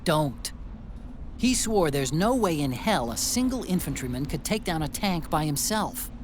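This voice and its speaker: An actor playing an earnest, excitable, chatty tech sidekick in a video game. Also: male voice, a little high, a little slow, background noise. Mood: curious. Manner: chatty, animated, teasing. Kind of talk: video game cutscene acting.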